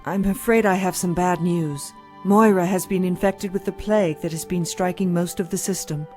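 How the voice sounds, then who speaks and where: An actress playing a weary, dedicated and compassionate doctor in an audio drama, earnest and dutiful in manner. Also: female voice, fairly low pitch, steady tone, moderate pace, a little mellow, soft background music, natural voice. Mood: grave.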